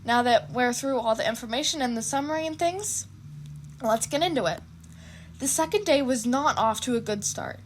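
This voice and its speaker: A woman's voice: a little high, animated, normal speed, slight background noise. A woman narrating fan fiction expressively, with casual asides.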